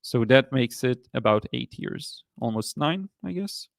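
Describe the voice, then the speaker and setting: A man in his thirties with an Israeli accent, hosting a painting livestream and answering viewer comments in a relaxed, halting way with many fillers. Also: medium pitch, creaky, no echo, clean studio sound. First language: Hebrew. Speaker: watercolor artist and livestream host. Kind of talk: casual livestream chat reading comments.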